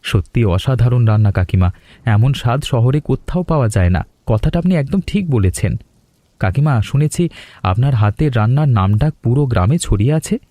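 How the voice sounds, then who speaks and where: A man's voice: mid-range voice, expressive, moderate pace, dark tone, dry recording, natural voice. A man playing a polite, grateful young male traveller from the city in a cartoon dub.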